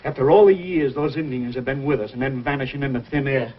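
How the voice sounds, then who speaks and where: A man's voice: medium pitch, fast, lightly acted. An actor playing a worried, stubborn invalid father with an insistent manner in an old-time radio adventure. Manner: anxious, insistent. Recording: dull old radio, faint noise.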